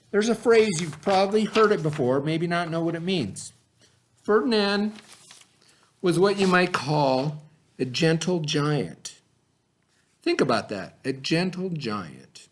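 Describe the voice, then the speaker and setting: Man, slightly high voice, children's read-aloud teacher, expressive, simple phrasing for young listeners. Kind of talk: children's story read-aloud, then informal talk to children.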